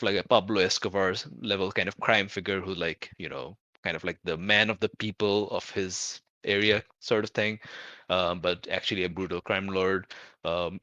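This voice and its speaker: A man with a fairly deep, bright voice talks through tabletop game ideas as a player. He speaks informally and haltingly, working out his thoughts as he goes, in a dry, close sound. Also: no echo, muffled recording. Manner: halting, thinking aloud, informal with swearing. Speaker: player in a tabletop roleplaying show. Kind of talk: casual out-of-character game discussion.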